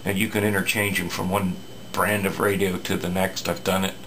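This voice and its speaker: A man's voice: low voice, little pitch movement, brisk, noisy background. A man talking casually at his workbench, explaining a radio repair with many fillers and restarts.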